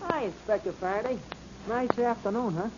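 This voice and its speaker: An actor playing a dim, loyal American crook's sidekick in a 1940s radio drama, slangy, ungrammatical and deferential. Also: male voice, very high voice, normal speed. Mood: sheepish. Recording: noisy, muffled old radio recording.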